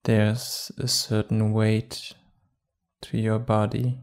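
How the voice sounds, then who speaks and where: Very slow, even-toned male meditation guide. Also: lowish voice. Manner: calm, unhurried, with long pauses between short phrases.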